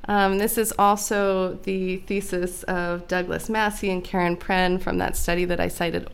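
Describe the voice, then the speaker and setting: A woman being interviewed, explaining history in a measured, lecturing way, often checking in with "right?". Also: on the low side, little pitch movement, smooth. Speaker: guest expert on immigration history.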